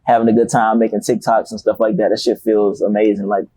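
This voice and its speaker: A young man talks fast and loose, full of street slang. His voice is low-pitched and husky, with a dark, mellow tone. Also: little pitch movement, poor audio. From Miami, Florida. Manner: laid-back, slangy, loose storytelling with profanity. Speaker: rapper guest. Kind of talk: casual interview chat.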